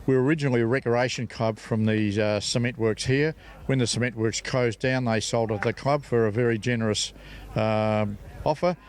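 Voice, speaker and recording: Older man, Australian accent, middle pitch, halting delivery, club spokesman, interview answers. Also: fairly even intonation. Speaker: bowls club representative interviewee.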